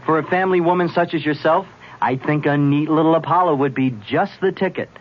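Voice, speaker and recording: Man acting a high-voiced, politely persistent pitchman. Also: fairly even intonation, a little fast, close to an everyday voice. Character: persistent, folksy man pitching cars to passerby. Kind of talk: comic radio commercial sketch. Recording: dull lo-fi old radio recording.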